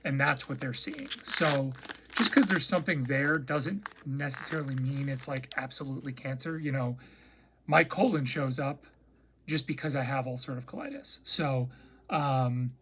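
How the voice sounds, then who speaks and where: A man with a raspy, slightly rough voice talks casually to his viewers. He pitches his voice in a middling range. He rambles, pauses on fillers and often restarts his sentences. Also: steady tone, a bit bright, a little hiss or hum. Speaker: vlogger. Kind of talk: casual personal update chat.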